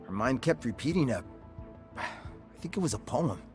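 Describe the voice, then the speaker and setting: Actor doing grave haunted psionic guy, middle pitch, halting, soft music behind, game drama. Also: animated, lightly acted. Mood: somber, haunted. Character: somber, haunted male psionic former captive. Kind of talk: dramatic video game acting.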